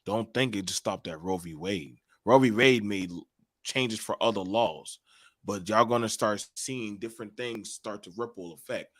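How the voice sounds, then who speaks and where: Halting low-voiced man hosting a panel. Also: no room sound, studio quality. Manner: halting, many fillers and restarts. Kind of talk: casual online panel chat.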